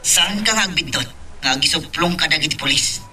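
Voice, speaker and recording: A man playing a stern, threatening syndicate gangster in an Ilocano audio drama, with a forceful manner and Filipino accent. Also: fairly high pitch, fairly quick. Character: stern, threatening crime-syndicate associate with a hideout. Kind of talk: Ilocano radio-style drama acting. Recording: noisy, distant-sounding home recording.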